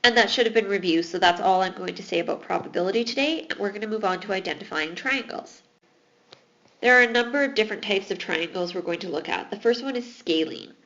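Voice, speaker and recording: A woman's voice: mid-range voice, fairly bright tone, dull audio. A woman teaching math in a recorded lesson, explaining patiently while losing her voice. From Alberta, Canada.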